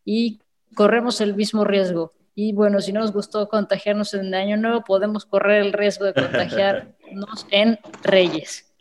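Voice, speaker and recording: A woman host explaining in a measured way, then handing over to the guests. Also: mid-range voice, steady tone, slight background noise. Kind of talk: casual panel chat. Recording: reverberant room.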